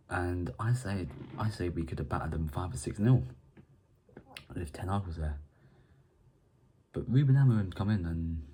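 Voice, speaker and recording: Deep, mellow-voiced football fan rambling. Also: male voice, breathy edge. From Ireland. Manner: rambling, repeats and restarts words. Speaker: football fan channel host. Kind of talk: casual match preview monologue.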